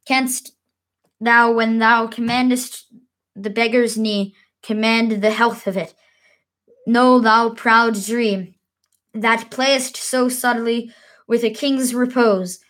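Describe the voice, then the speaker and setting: Woman doing a troubled king monologue, shakespeare style, slow n declamatory, higher voice, trips on words a bit. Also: fairly even intonation, fairly bright tone. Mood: questioning, scornful. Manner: declamatory, halting, restarting stumbled words. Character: troubled young warrior king, brooding on burdens of rank. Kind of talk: Shakespearean verse soliloquy.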